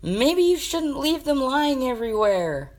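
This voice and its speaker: A woman voicing a hot-headed, foul-mouthed young man in a story, shouting indignantly. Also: high-pitched, big pitch swings, a little slow, voice pushed up.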